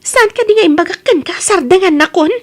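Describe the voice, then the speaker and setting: A woman's voice: very high voice, brisk. A woman playing a hard-up, defensive and pleading young working woman in a comedy radio drama, with a Philippine accent. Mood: insistent.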